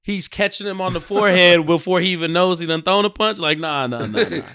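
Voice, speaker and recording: Man, high voice with a forceful edge, casual podcast debater, profane and slangy. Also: fairly quick, clear, resonant tone. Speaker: podcast co-host. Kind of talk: casual joking debate chat.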